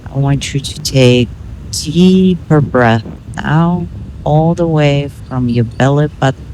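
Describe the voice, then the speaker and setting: Female meditation guide, very unhurried delivery, mid-range pitch, measured instructional phrasing, some background noise. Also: dark tone, rough recording. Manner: measured, instructional, with pauses between short phrases.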